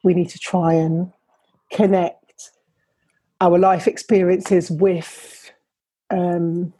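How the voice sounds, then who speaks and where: A woman's voice: fairly low pitch, level tone, extremely slow, warm tone, breathy edge. A middle-aged woman, an academic guest, speaking thoughtfully and measuredly in conversation, often restarting her sentences. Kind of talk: reflective interview conversation.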